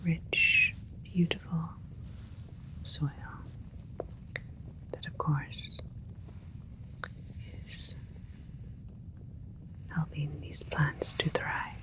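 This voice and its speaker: A woman playing a gentle alien scientist in a whispered ASMR sci-fi roleplay, explaining things in a soothing, clinical way. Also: low voice, steady tone, very slow, pauses often. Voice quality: breathy whisper throughout. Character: gentle alien scientist doctor, proud of a hybrid creation.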